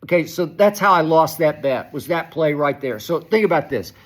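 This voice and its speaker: A man's voice: on the high side, expressive, moderate pace. A man hosting a sports talk show, ranting with exasperation, often stopping and restarting. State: hoarse, losing his voice. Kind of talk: animated solo sports commentary.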